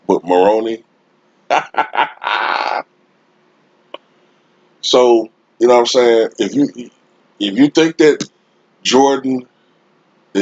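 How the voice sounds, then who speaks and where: Slow, deep-voiced man ranting casually. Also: no room sound, lo-fi sound. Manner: emphatic, informal, drawn-out delivery with frequent rhetorical tags. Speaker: sports commentary YouTuber. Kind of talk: casual opinion rant to viewers. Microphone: Blue Snowball-type desktop USB microphone.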